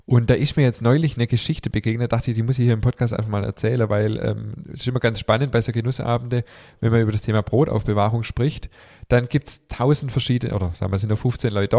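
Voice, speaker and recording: Fast-talking man with a cold. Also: medium pitch, fairly even intonation, warm tone. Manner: chatty, rambling with many fillers and restarts. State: has a cold. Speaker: podcast host. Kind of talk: casual podcast chat.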